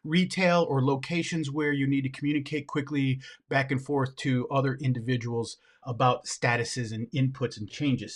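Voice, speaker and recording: A man of ordinary pitch reviews gear on camera, talking directly to his viewers. He sounds upbeat and enthusiastic. He breaks off now and then with quick asides while he handles what he's showing. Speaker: product reviewer. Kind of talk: hands-on product demo.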